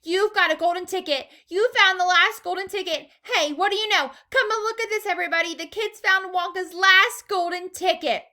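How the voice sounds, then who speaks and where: A woman voicing an excitable, fat, middle-aged male shopkeeper who yells frantically. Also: extremely high pitch, moderate pace, hardly any pauses, voice pushed up. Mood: ecstatic yelling. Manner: yelling, frantic.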